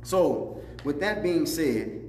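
A middle-aged man, an imam, giving a religious teaching address in a deliberate, explanatory way, repeating phrases for emphasis. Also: on the high side, animated, darkish tone. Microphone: lapel mic. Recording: echoey room, reverb, faint background noise.

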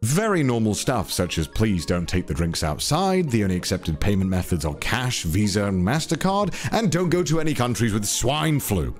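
A man's voice: on the low side, big pitch swings, normal speed, hardly any pauses, soft background music. A young man reading a wry, scripted voice-over for a vlog. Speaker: video narrator.

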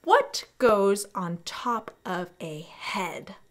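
A young woman hosting a children's storytime, speaking with animated, exaggerated enthusiasm and deliberate enunciation as she teaches. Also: mid-range voice. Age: young adult. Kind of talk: children's educational presentation.

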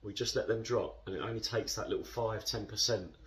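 A man in his thirties, a football club captain, talking through a match in a post-match interview, chatty and measured with many fillers. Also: on the low side, recorded from a distance. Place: indoor club room.

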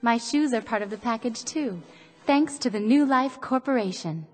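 A woman's voice: fairly high pitch, expressive, moderate pace, lightly acted. An actress playing a gracious young beauty-queen display android in a radio drama, poised and rehearsed. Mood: gracious, presenting. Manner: poised, rehearsed showroom presentation. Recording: hall reverb with music behind.

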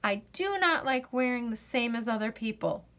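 A woman's voice: fairly high pitch, lively intonation, normal speed, a bit bright. A woman voicing a stubborn, fussy little girl who objects emphatically in a children's storybook. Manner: emphatic, matter-of-fact objections. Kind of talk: children's storybook read-aloud.

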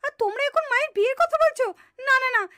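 Very shrill, fast-talking village puppet female. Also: sharp tone. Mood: indignant protest. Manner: emphatic, scolding, repeats words in protest. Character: high-pitched village women and girls in a puppet family drama. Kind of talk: dramatized Bengali puppet-show dialogue.

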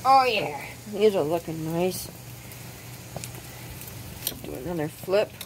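A woman hosting her own cooking channel, explaining step by step outdoors at a grill, halting often with ums. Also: fairly low pitch, lively intonation, slight background noise, poor audio. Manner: unhurried, hesitant with frequent ums. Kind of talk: casual cooking demonstration.

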